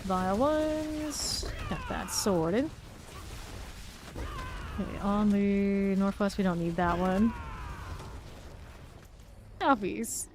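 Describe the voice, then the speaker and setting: A woman's voice: medium pitch, very slow, pauses often, a little mellow, a little hiss or hum. A woman live-streaming a game, chatting casually and rambling between gameplay callouts, laughing easily. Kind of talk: casual chat while playing a game live.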